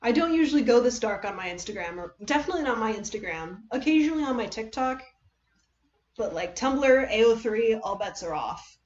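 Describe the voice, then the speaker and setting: The recording is a little dull and lo-fi, like a home livestream. A woman with a somewhat high voice chats casually with her viewers. She rambles haltingly, trailing off and correcting herself as she goes. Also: expressive. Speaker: livestream host reading fan fiction.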